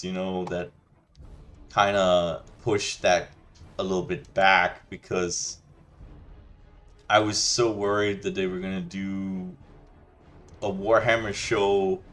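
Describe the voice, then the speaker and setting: Low-voiced rambling man. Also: fairly bright tone. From Denmark. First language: Danish. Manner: rambling, loose and chatty, many restarts and "you know". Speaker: gaming channel host. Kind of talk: casual rant over gameplay.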